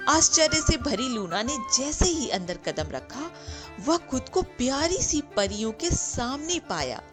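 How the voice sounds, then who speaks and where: A woman narrating a bedtime fairy tale in a gentle, measured reading style. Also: sits high, very animated. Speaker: storyteller. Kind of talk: slow scripted bedtime story narration. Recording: narration over clear background music.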